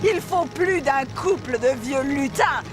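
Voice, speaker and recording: A woman's voice: sits high, expressive, unhurried. A woman voicing a menacing, mocking wicked witch in a dubbed children's cartoon, with a sneering, grandiose delivery. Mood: gloating fury. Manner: sneering, grandiose, often shouting. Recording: loud music behind the voice.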